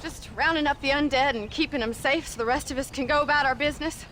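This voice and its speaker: A feisty rancher's daughter in a western game, sarcastic and brittle. Her American voice is high and sharp, with faint outdoor noise underneath. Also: quick pace. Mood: brittle cheer. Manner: sarcastic, haughty. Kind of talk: video game western acting.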